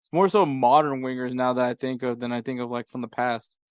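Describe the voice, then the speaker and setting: A man's voice: medium pitch, fairly even intonation, brisk, clear, resonant tone, no room sound. A man co-hosting a sports podcast in casual soccer chat, with an informal, jokey way of talking.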